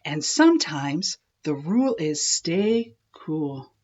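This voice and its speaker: A woman voicing a patient, kindly female schoolteacher in a children's storybook, gently admonishing in a measured way. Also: deep voice, big pitch swings, highs cut off, exaggerated voice.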